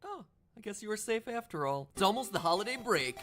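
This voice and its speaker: A man's voice: high-pitched, very animated, a little fast, a bit bright. Male video narrator, scripted monologue delivery, heard through video playback with light music underneath.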